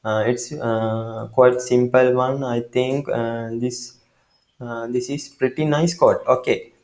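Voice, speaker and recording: A man from Sri Lanka with a Sri Lankan accent, giving a screen-recorded software tutorial in a halting way, with many fillers and restarts. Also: medium pitch, steady tone, echoey room. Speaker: tutorial maker.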